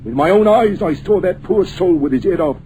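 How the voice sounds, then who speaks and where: An old groom in a radio play, superstitious and earnest, voice pitched a little high. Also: male voice, lively intonation, brisk, dark tone, a little hiss or hum, poor audio. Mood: earnest, alarmed. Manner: dialect-heavy, earnest, rising to alarm. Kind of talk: 1940s radio acting.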